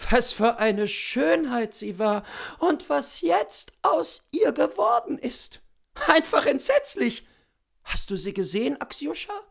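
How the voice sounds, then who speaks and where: A man voicing a nosy, excitable young village girl, gossipy, in a dramatised classic story reading. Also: very high voice, slow pace, creaky, pitched above the performer's own voice, full character voice. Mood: shocked, pitying.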